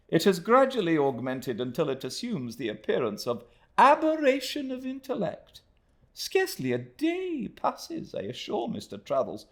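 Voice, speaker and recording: A man's voice: sits high, gravelly, voice pushed up, exaggerated voice. A man voicing a distressed, effusive middle-aged wife who writes a florid, imploring letter.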